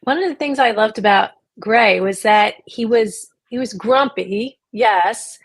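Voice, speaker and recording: A woman interviewer with a medium-pitched voice. She co-hosts a casual book chat and sounds encouraging as she puts questions to the guest. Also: unhurried. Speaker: co-host interviewer.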